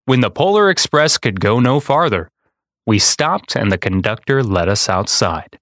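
A man narrates with a lively, swinging pitch. The recording is close and dry, suited to a podcast host reading a children's book aloud. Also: normal speed, dry recording. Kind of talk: expressive storybook narration.

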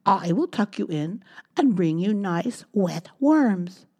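A woman's voice: medium pitch, lively intonation, slow pace, a little mellow. A woman voicing a motherly lady duck in a storybook reading, with a quacking manner. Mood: kindly.

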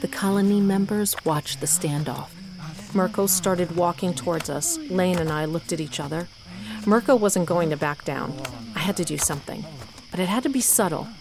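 A woman playing a determined mother who narrates her own adventure in a scripted audio drama, measured but with dramatic emphasis. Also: lowish voice, noisy background, close to an everyday voice. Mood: tense, determined. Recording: loud music bed under voice. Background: music.

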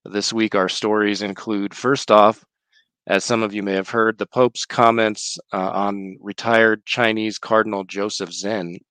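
A man with a low voice hosts a religious news roundup. He sounds slightly off from a cold and speaks in a measured, earnest manner. The recording is dry, with very little room sound. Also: fairly even intonation, fairly bright tone, clean tone, dry recording. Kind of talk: hosting a live-streamed religious news roundup.

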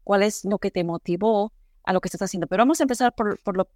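A woman's voice: medium pitch, fast, darkish tone, dry recording, studio quality. A woman from Peru hosting a podcast interview, chatty and welcoming as she introduces her guest and asks questions. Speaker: podcast host.

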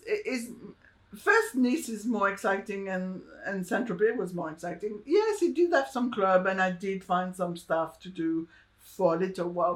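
A French woman, a shoe designer, talking about her life in a loose, animated way, restarting her sentences and acting out what other people said. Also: medium pitch. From France. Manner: animated, restarts a lot, acts out quoted people. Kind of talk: casual interview chat.

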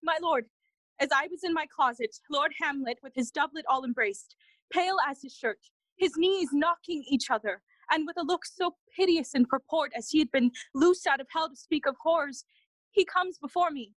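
A woman's voice: high-pitched, moderate pace, a bit bright, no echo, rough recording. An actress playing a frightened, obedient young noblewoman, speaking dramatic verse earnestly in a Shakespearean audio play.

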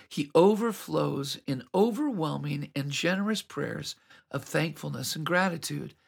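Guy giving a devotional talk, husky voice, takes his time, earnest. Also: on the high side. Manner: earnest, reflective, unhurried. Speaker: host of a devotional program. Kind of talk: scripted devotional message.

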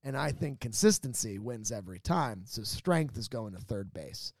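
A man bantering casually as a podcast panelist, building up his picks with dramatic pauses. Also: medium pitch, animated, no room sound, clean studio sound. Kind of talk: casual comic banter, sports-draft parody.

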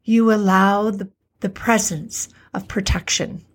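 A middle-aged woman hosting a podcast, talking alone in an earnest, reflective way; her voice is a little hoarse today. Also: medium pitch, fairly even intonation. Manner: earnest, repetitive, slows for emphasis. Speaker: podcast host, alternative health practitioner. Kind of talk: reflective solo talk.

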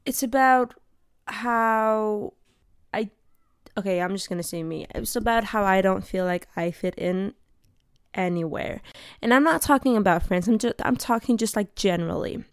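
A woman's voice: mid-range voice, normal speed, smooth, no room sound, studio quality. A young woman from Denmark hosting her own episode, talking in an earnest, heartfelt way and searching for her words. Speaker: podcast host. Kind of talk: personal monologue.

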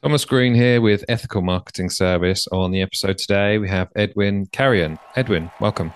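Deep-voiced man asking halting questions. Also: clean tone, no echo. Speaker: podcast host. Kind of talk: interview questions.

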